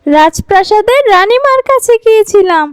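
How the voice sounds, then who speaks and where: A woman's voice: extremely high pitch, expressive, normal speed, faint music behind. A woman voicing a proud, impatient, vengeful young village girl in a cartoon fairy tale.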